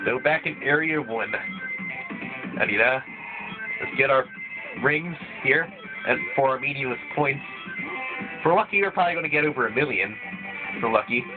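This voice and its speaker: A man's voice: mid-range voice, lively intonation, moderate pace, pauses often. A man with a slight cold, rambling through casual gameplay commentary with lots of restarts. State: voice thickened by a cold. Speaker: let's play gamer. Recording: tinny, phone-like lo-fi mic. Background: video game music playing.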